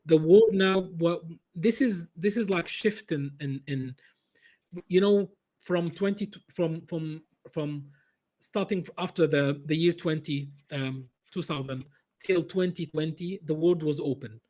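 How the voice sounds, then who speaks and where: Guy explaining stuff, keeps restarting and repeating words, voice pitched a bit up. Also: measured pace, a little mellow. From Egypt. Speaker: business consultant guest. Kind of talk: interview answers.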